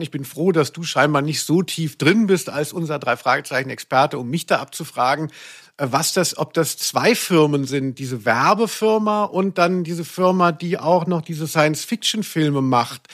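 A man hosting a podcast in casual, chatty style, rambling and correcting himself as he goes. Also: fairly high pitch. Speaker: podcast host.

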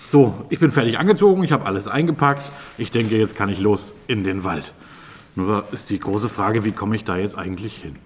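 An actor playing a traveler in an improvised children's radio play, talking in a questioning, deliberating way with drawn-out hesitations. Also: medium pitch, breathy edge, close to an everyday voice. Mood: pondering.

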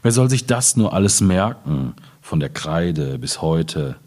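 A man from Germany's Ruhr region, a poet at a literary reading, speaking in a husky, deliberate, measured way. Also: low voice, very animated, darkish tone. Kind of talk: poetry reading with informal commentary.

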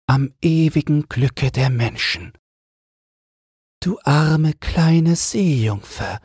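A man voicing a gentle, solemn female air spirit who speaks slowly and consolingly in a fairy tale. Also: fairly high pitch, husky, no echo, clean studio sound, voice pushed up, full character voice.

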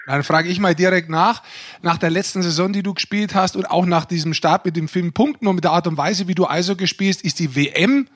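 A man's voice: high-pitched, brisk. A man co-hosting a podcast with casual banter, speaking in a direct, challenging, outspoken way. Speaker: podcast co-host.

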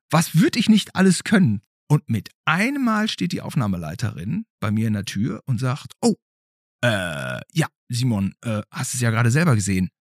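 A man, middle-aged, hosting and telling stories in a casual, chatty way with a husky voice. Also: on the high side, dry recording, clean studio sound. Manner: animated, chatty. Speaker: host. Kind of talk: casual storytelling and chat with a child.

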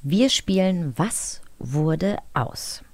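A woman's voice: lowish voice, animated, drawn-out words, no echo. A woman co-hosting, presenting a game segment in a chatty show style. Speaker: co-host.

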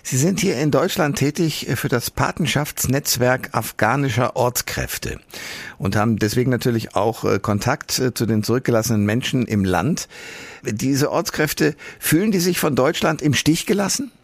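A man's voice: medium pitch, lively intonation, moderate pace, creaky, dry recording. A man hosting a radio talk show, interviewing his guest in a conversational way with follow-up questions. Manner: conversational, asks follow-ups.

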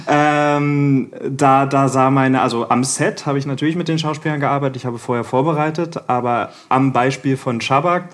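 A man from Berlin, a film director guest on a podcast, talking in a rambling, self-correcting way. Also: mid-range voice. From Berlin, Germany. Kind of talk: casual podcast chat.

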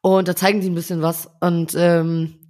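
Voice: mid-range voice, level tone, normal speed, darkish tone. A young adult podcast co-host in a casual chat, talking in a rambling way full of hedges and tag questions.

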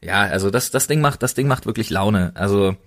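A man's voice: lowish voice, lively intonation, a little fast, fairly bright tone. A man hosting a casual podcast, recommending things in an enthusiastic, colloquial way. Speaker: podcast host.

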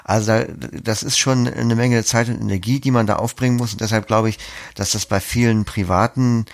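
A man's voice: lowish voice, steady tone, brisk. A man giving articulate, reflective, explanatory answers as an interview guest.

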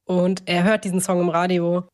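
A woman's voice: fairly low pitch, flat delivery, normal speed, a little mellow. A woman co-hosting a podcast in a casual chat, talkative and often correcting herself mid-sentence. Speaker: podcast co-host.

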